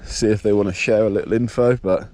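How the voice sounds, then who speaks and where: A man with a blocked nose and a slightly nasal voice, casually talking through his fishing outdoors by a dam in a relaxed, explanatory way. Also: on the low side, fast. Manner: relaxed, explanatory, thinking aloud. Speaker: fishing vlogger. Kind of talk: casual outdoor vlog narration.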